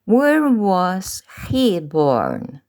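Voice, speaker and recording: Woman, English teacher, slow drills, very slow pace, lively pitch. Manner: slow, deliberate pronunciation drills with pauses. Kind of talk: slow drilled language lesson.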